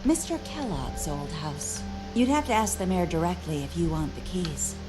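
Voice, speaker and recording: An actress playing a snippy, jaded mayor's secretary in a video game. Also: female voice, lowish voice, animated, normal speed, over music, natural voice, low-quality recording. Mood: businesslike. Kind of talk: video game dialogue acting.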